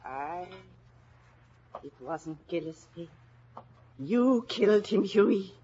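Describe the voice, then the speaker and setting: An actress playing a knowing old Irish village woman in a radio drama, speaking gravely and portentously. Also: on the low side, lively intonation, slow, mellow, full character voice. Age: older. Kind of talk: 1950s radio drama acting. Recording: dull, noisy old radio recording.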